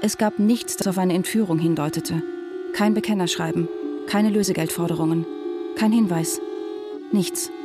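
A woman's voice: on the low side, steady tone, normal speed, close to an everyday voice. An actress in a radio drama playing a tense, defiant wife of a missing man, speaking in a controlled, insistent way. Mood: sober, controlled. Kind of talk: radio drama acting with inner monologue narration. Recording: studio radio play with music underneath.

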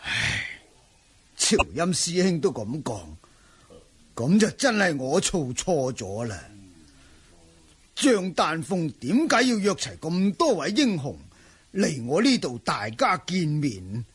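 Husky, animated martial-arts manor master. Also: male voice, on the high side, slow pace, pauses often, full character voice. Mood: troubled, doubting. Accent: Cantonese. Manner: emphatic, sighing, dramatic. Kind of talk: Cantonese wuxia radio drama acting.

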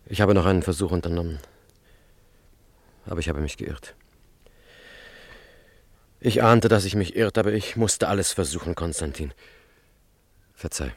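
An actor playing a grave, conscience-torn young student conspirator in an earnest, measured radio play style. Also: male voice, sits low, dry recording. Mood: urgent, remorseful. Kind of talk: German radio play acting.

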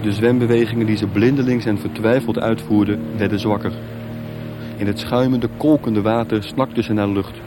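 A man's voice: lowish voice, fairly even intonation, moderate pace. A man narrating a radio play as scripted, dramatic storytelling. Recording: music bed behind. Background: music.

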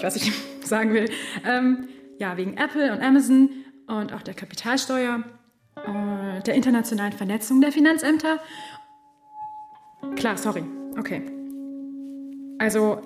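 An actress playing a cheeky, chatty young office assistant in a radio drama. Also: female voice, on the high side, normal speed, pauses often, lightly acted. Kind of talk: radio drama, narrating and acting. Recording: radio drama with faint music.

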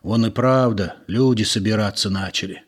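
A man voicing a gruff, exasperated village man in an audiobook, blunt and sarcastic as he scolds. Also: fairly low pitch, normal speed. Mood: irritated.

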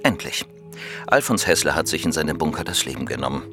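A sober official in a radio crime play. His voice is low and he talks in terse, measured sentences, with music behind him. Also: animated. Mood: grave. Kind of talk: German radio crime drama acting.

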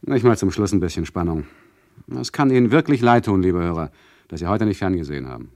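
An actor playing an ironic, self-important narrator in a satirical radio play, addressing the listeners directly. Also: male voice, low voice, lively intonation, fast, darkish tone. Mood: dryly ironic. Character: smug meddling male radio narrator, easily terrified.

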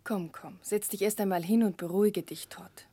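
An actress playing a gentle, earnest, caring young woman with a soft voice in a 1960s radio drama. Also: mid-range voice, fairly even intonation, normal speed, dry recording, close to an everyday voice. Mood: gentle, concerned. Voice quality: soft, breathy.

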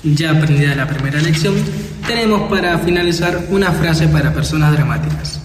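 A man's voice: fairly high pitch, fairly quick, natural voice. A teenage boy playing the host of a parody English course in scripted school radio comedy. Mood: mock-formal presenting. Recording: echoey hall, faint noise.

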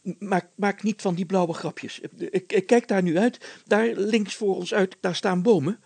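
A man's voice: sits high, unhurried, gravelly, a little mellow, slightly rough, no echo, voice pushed up, full character voice. A man voicing an anxious, blustering village mayor in a storybook, stammering and hesitant, easily thrown into breathless panic. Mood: nervous, pleading.

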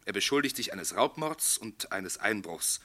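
An actor playing a courteous, shrewd and self-assured police inspector in a German radio play. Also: male voice, fairly low pitch, lively intonation, normal speed, sharp tone. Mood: confident, explaining.

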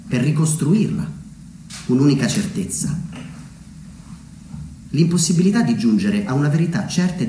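A man's voice: medium pitch, a little mellow. Echoey male theatre storyteller. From Umbria, Italy. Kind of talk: theatrical narrative monologue. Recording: roomy echo with background noise.

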